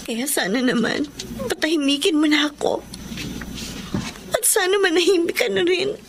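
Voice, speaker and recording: A woman's voice: high voice, expressive, moderate pace, vocal fry, background noise. A woman acting a hurt, firm young single mother in a Filipino radio drama. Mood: bitter, hurt.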